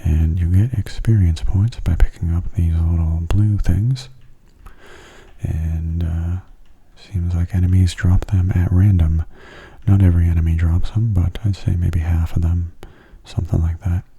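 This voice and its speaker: A man giving soft-spoken ASMR gameplay commentary in a hushed, breathy, unhurried and casual way, close to the microphone. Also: fairly even intonation. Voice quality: breathy, husky low voice. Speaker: gaming ASMR video host. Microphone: close mono microphone.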